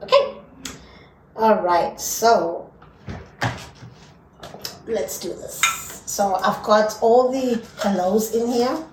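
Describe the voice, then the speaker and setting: A woman's voice: a little high, very slow, long pauses, noisy background. South african woman, zulu first language, teasing chatty co-host, roomy sound a bit off mic. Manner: playful and chatty, laughing at herself. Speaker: podcast co-host teaching languages. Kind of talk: casual chat. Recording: roomy, distant mic, faint ambience.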